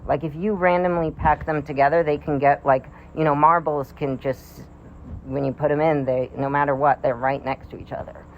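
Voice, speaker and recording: 30s guy rambling about a science thing, lots of likes and restarts, excited, bit of faint background noise. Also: fairly high pitch, normal speed, muffled recording. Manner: rambling, hesitant, many fillers and restarts; wondering and enthusiastic. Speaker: vlogger. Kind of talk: casual explanatory monologue.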